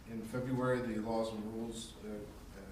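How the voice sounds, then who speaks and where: A council member with a cold, speaking nasally and haltingly as he gives a committee report in council chambers. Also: on the low side, level tone. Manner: halting, many fillers and restarts. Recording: echoey chamber, distant mic, background hum.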